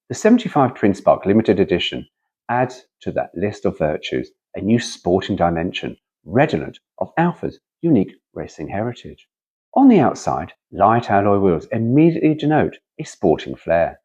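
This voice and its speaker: A man's voice: on the low side, wildly swooping pitch, normal speed, a little mellow, breathy edge, muffled recording. A man hosting a car vlog, chatting casually and reading brochure copy aloud, with playful, rambling asides. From United Kingdom. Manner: rambling, playful, frequent self-interrupting asides.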